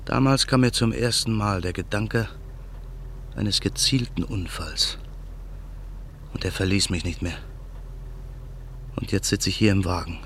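A man's voice: sits low, measured pace, long pauses, fairly bright tone, slight background noise. An actor playing a haunted man bent on revenge, narrating with brooding intensity in a radio play. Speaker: narrator and lead character.

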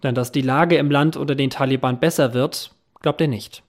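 A man reading a scripted radio news report in a measured, even way. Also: medium pitch. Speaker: news presenter.

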